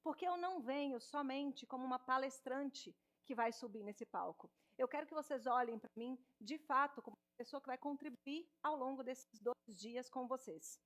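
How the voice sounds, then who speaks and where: A woman in her thirties with a Minas Gerais accent, a speech therapist giving an animated stage talk straight to the audience in an auditorium. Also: high voice, normal speed, few pauses. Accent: Minas Gerais Portuguese. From Minas Gerais, Brazil. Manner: warm, animated, addresses audience directly.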